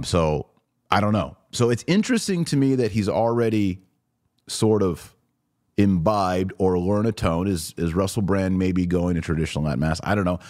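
A man's voice: deep voice, darkish tone, no room sound, studio quality. A man hosting a podcast, giving casual, musing commentary on a video clip in a conversational way. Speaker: podcast host.

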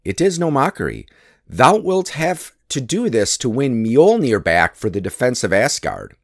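A man's voice: medium pitch, big pitch swings, measured pace, dry recording, clean studio sound. A man voicing a cunning, mocking trickster god from a myth, teasing and coaxing as he schemes. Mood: sly persuasion. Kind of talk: dramatic myth storytelling.

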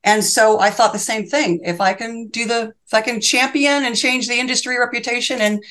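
A woman's voice: on the high side, steady tone, brisk, a bit bright. A woman in her sixties, a reverse mortgage specialist guesting on an interview show, talking in earnest, fervent, run-on sentences that she often restarts. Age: 60s. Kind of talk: interview conversation.

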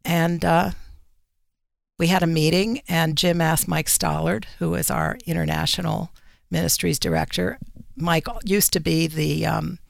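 Very gravelly woman explaining in an interview. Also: low voice, steady tone, a little slow, no echo, clean studio sound. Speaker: magazine editor, radio guest.

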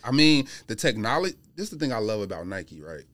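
A man's voice: lowish voice, very animated, quick pace, fairly bright tone, no room sound. A man chatting informally as a podcast guest, explaining his opinions in a conversational way. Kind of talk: casual chat about sneakers. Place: podcast studio.

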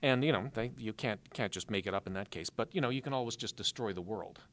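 A man, an author chatting quietly and casually as an interview guest. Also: fairly low pitch, quick pace.

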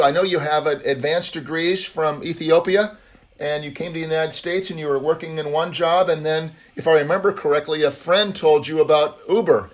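A man hosting a podcast interview, prompting his guest with long questions and frequent affirmations. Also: on the high side. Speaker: podcast host. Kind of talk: conversational interviewing.

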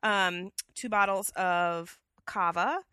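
A woman's voice: mid-range voice, steady tone, slow pace, sharp tone, smooth, dry recording, studio quality. Chatty woman with a scratchy cold. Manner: chatty storyteller, many fillers. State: has a cold, slightly scratchy. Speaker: podcast co-host. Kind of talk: casual chat. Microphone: headset.

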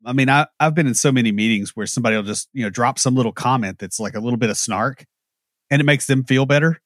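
A man's voice: medium pitch, fast, no room sound, studio quality. A man co-hosting a podcast, giving blunt, wry opinions and anecdotes. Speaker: podcast co-host. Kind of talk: casual opinionated chat.

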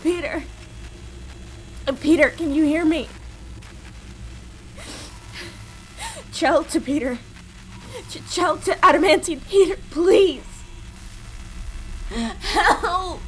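A woman playing a terrified stranded space explorer in an audio drama, crying and pleading in halting, stammered phrases. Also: very high-pitched, a bit bright, a little hiss or hum, heavily acted, low-quality recording. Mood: panicked. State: crying, panicked.

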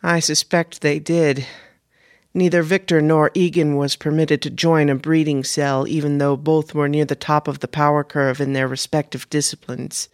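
A man voicing Fiona, calm formal woman. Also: a little high, moderate pace, no room sound, studio quality, pitched above the performer's own voice, close to an everyday voice. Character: composed, measured woman operative, Fiona.

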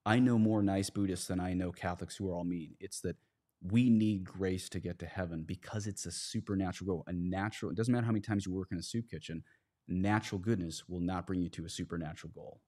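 A man's voice: deep voice, steady tone, fast, dark tone. A man, a priest, speaking as a podcast guest, earnestly explaining religious ideas in conversational, plain analogies.

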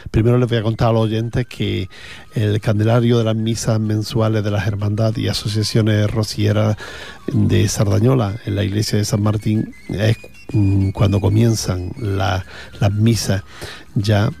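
Gravelly-voiced Andalusian man on radio. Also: fairly low pitch, flat delivery, a little slow, mellow, faint music behind. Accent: Andalusian Spanish. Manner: rambling, chatty, with frequent fillers and repeated words. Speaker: radio host. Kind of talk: casual radio commentary between songs.

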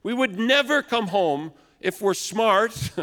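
A man in his thirties preaching a sermon in a loud, big voice, now and then acting out complaining customers. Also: high-pitched, animated, slow. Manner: acts out complaining customers in raised voice. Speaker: pastor. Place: large church hall. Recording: long hall reverb.

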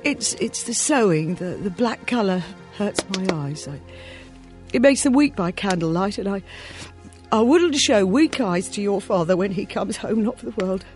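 A woman's voice: medium pitch, animated, moderate pace, darkish tone, soft background music, lightly acted. An actress playing a tender, grieving mother in a radio drama, hesitant and faltering. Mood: sorrowful.

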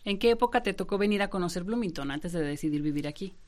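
A woman's voice: fairly low pitch, expressive, quick pace, darkish tone. A woman hosting a radio show, chatting in a friendly, easygoing way with guests. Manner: friendly, chatty, laughs easily. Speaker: radio host. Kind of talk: casual interview.

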